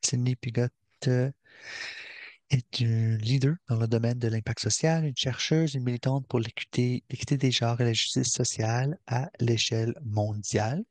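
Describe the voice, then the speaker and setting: Male webinar host, mid-pitched, measured intros, dry close mic, video call. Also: fairly even intonation, a little mellow, no room sound.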